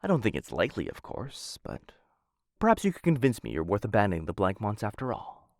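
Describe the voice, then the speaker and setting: A low-voiced, smitten assassin played by a man in a boyfriend ASMR roleplay. His delivery swings widely in pitch, with a gravelly fry. He speaks in polished, courtly phrases and drifts into hesitant, softer asides. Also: huge pitch swings, fairly quick, no echo, clean studio sound. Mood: suave, courting. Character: smitten male assassin of noble house, suave, courtly, playful. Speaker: voice actor in a boyfriend roleplay audio. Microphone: close studio-style mic.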